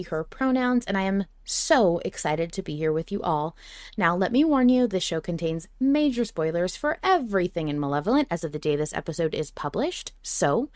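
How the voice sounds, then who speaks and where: A woman hosting a fan recap podcast, chatting in a gushing, sweary, excited manner. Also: fairly low pitch, dry recording, clean studio sound. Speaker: podcast host.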